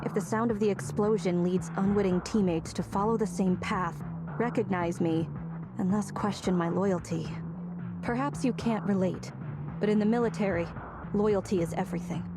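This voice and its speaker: A woman's voice: mid-range voice, fairly even intonation, normal speed, close to an everyday voice. An actress playing a stern, guarded female soldier in a video game, speaking in a clipped, formal military manner. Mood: serious. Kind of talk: video game story dialogue. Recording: loud game music behind voice. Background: loud music.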